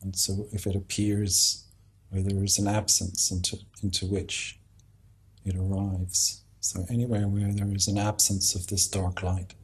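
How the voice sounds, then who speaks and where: A man leading a guided meditation as a teacher, speaking in an unhurried, halting way with long pauses. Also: deep voice, monotone, breathy edge, low-quality recording.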